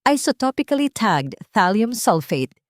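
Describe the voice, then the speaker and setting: Woman voicing a steady, firm woman investigator. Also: fairly high pitch, measured pace, dry recording, studio quality, pitched above the performer's own voice. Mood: firm accusation. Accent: Filipino. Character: steady, sharp-minded woman investigator, firmly accusing.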